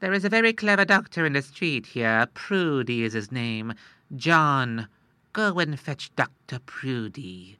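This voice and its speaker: A man voicing a shrewd middle-aged moneylender who talks in a slow, measured, coolly persuasive way. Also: mid-range voice, lively intonation, sharp tone, clear, resonant tone, no echo.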